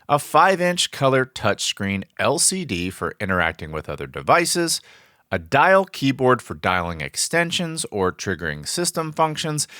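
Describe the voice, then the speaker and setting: A man's voice: mid-range voice, bright, forceful tone, no room sound, clean studio sound. A man reading scripted product training narration in a polished, instructional presenter style. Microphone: close studio voice-over mic, dry.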